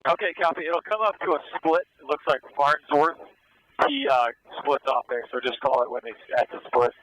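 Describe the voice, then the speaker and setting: A man's voice: on the high side, lively intonation, moderate pace, fairly bright tone, low-quality recording. A man speaking over police radio as an air unit officer, giving terse, directive tactical callouts. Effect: police radio transmission.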